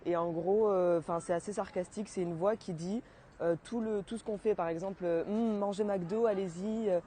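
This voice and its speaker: A teenage girl answering interview questions casually, pausing often with fillers. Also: mid-range voice, steady tone, mellow, slight background noise. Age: teens. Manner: hesitant, many fillers.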